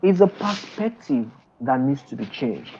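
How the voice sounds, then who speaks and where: A man's voice: medium pitch, big pitch swings, measured pace, dark tone, slight background noise. A middle-aged man, a guest on a video call interview, talking emphatically with many restarts. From Nigeria. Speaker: guest trader and mentor. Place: indoor room, seated in an office chair. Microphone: earphone headset on a video call. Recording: dull lo-fi video call audio.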